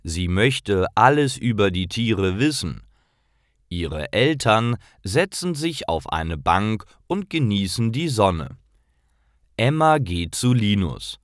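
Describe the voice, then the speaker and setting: A male narrator tells a simple scripted story for language beginners. His voice sits slightly low and moves slowly, with lively intonation and clear breaks between short phrases. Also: no room sound, clean studio sound. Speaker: narrator of German learning stories.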